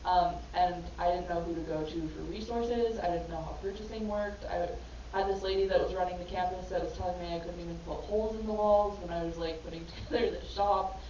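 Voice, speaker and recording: Woman panelist, reflective and earnest, lowish voice, distant dull room recording. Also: steady tone, brisk, dark tone, slight background noise. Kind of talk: personal story at a panel. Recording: echoey hall from far off, dull.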